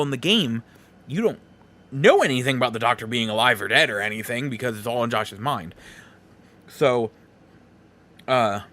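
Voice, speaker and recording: Casting: male podcast host for a solo film review, informal and chatty, medium-pitched voice, prone to rambling asides. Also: fairly bright tone. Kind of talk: casual solo movie review talk.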